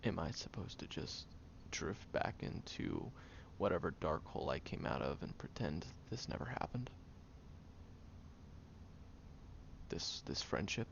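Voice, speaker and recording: Deep, gravelly, guarded tsundere man, acted out. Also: expressive, dull audio, slight background noise. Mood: vulnerable. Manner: halting, stern yet caring, often dropping to a hush. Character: guarded, prickly tsundere young man nursing a sick friend. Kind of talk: intimate audio roleplay to the listener.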